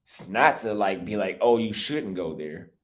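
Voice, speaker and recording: Lowish-voiced man rambling on a livestream. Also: expressive, normal speed, fairly bright tone. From United States. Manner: rambling, defensive, frequent restarts. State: voice slightly cracked. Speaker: travel YouTuber live-streaming. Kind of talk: casual livestream talk reading super chats.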